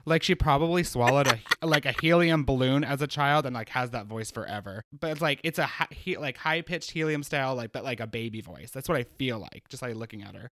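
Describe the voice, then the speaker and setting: A man's voice: medium pitch, brisk, few pauses, fairly bright tone. A man co-hosting a podcast, rambling and giggling through casual chat with plenty of fillers. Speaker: podcast co-host.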